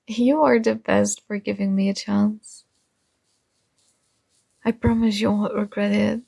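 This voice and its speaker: A woman's voice: mid-range voice, steady tone, measured pace, creaky, dark tone, husky, dry recording, exaggerated voice, rough recording. A woman playing a shy, nerdy young classmate in a romantic ASMR roleplay, flustered and smitten, speaking in a hesitant, awkward way. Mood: tender, sincere.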